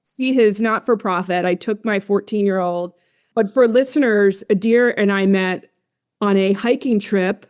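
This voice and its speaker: A woman's voice: mid-range voice, measured pace, smooth. Chatty woman podcast host. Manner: chatty, warm, jokey. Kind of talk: casual interview talk.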